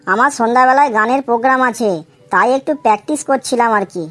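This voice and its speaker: A woman's voice: a little high, quick pace, a bit bright, faint music behind, lightly acted. A woman voicing a cheeky, silly young schoolboy in a comedy cartoon. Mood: cheeky earnest. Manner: cheeky, earnest silly answers. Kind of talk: comedy cartoon dialogue in Bengali.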